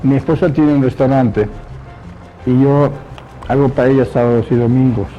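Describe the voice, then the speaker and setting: A man, giving reflective, halting personal testimony. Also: mid-range voice, steady tone. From born in Spain, grew up in Mexico. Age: 70s. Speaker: interviewee. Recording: dull lo-fi sound, faint music.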